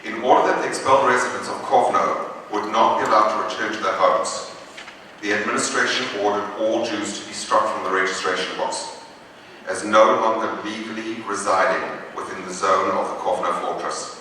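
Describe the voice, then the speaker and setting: Man presenting a prepared talk, deliberate and measured; low, bright voice recorded from afar in a reverberant hall. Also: steady tone. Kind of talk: prepared lecture, read aloud. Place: lecture hall. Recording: echoey hall from far off with faint noise.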